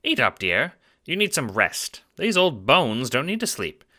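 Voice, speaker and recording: A man's voice: medium pitch, sing-song, a little slow, pushed and bright, voice pushed up, heavily acted. A man voicing a kindly, chipper old grandmother in an audio drama, doting and full of old-fashioned endearments.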